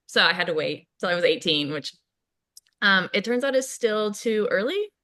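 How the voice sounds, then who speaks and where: A woman playing a chatty, self-deprecating, awkward college student in a casual, naturalistic play reading, halting and rambling as she goes. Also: medium pitch, animated, pushed and bright. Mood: embarrassed, chatty. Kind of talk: table read of a play, naturalistic casual acting.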